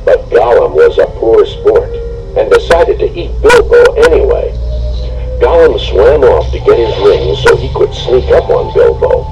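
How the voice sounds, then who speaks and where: A man reading a storybook aloud with expressive, dramatic narration, on a noisy home recording. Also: on the low side, a little slow, creaky, dull audio. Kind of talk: scripted storybook read-along narration.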